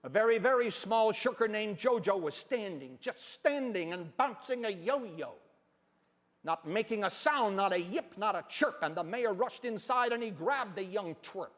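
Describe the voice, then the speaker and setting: High-voiced male storyteller, reverberant hall. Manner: deliberate, expressive storyteller's delivery. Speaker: professor giving a dramatic reading. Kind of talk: theatrical stage reading and presentation. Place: stage in a hall, indoors.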